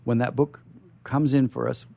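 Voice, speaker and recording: A man hosting a casual radio interview, speaking haltingly and repeating his phrases as he goes. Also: medium pitch, fairly even intonation, vocal fry, warm tone, a little hiss or hum.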